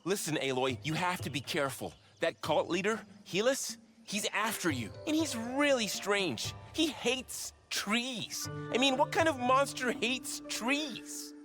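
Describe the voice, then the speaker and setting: An actor voicing an earnest, sheepish, bumbling would-be warrior in a comedic animated game. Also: male voice, high-pitched, a little slow, few pauses, music in the background. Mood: earnest warning. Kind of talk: animated video game cutscene acting.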